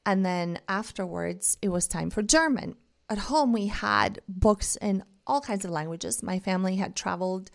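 Earnest woman. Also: mid-range voice. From Bulgaria. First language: Bulgarian. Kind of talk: reflective monologue.

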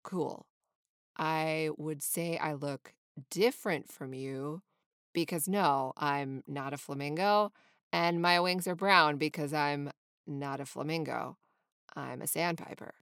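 A laid-back young sandpiper from a children's tale, acted out by a woman. He speaks in a lowered, quieter voice with a friendly, deadpan calm. The recording is dry. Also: slow pace, smooth, no room sound, full character voice. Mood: matter-of-fact.

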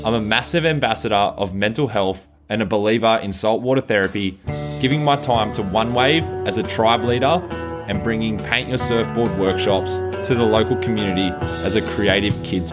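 An Australian man who hosts a podcast, talking casually and reading a children's storybook in a playful, encouraging way. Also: medium pitch. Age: adult. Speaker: podcast host.

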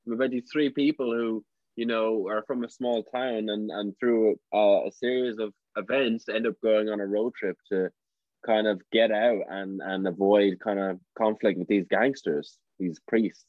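Young Irish actor, casual and talkative, heard over a lo-fi video call. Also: male voice, lowish voice, fairly even intonation, moderate pace, no room sound. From Ireland. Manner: chatty, restarts sentences, laughs often. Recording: dry, dull lo-fi call audio.

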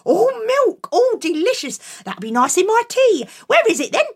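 A woman voicing an excitable, scolding mother in a children's story, shrill and exaggerated. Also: normal speed, full character voice. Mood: eager.

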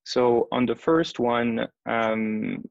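A man's voice: mid-range voice, extremely slow, gravelly, no room sound. A man in his thirties from Switzerland, a venture capital investor answering interview questions methodically, laying out points one by one with frequent fillers. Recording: dull lo-fi dry sound.

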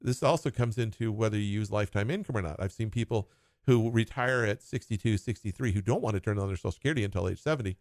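A man's voice: lowish voice, quick pace, no echo, clean studio sound. A man who talks as a financial advisor, explaining retirement planning in short clauses and laughing now and then. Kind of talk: conversational explanation.

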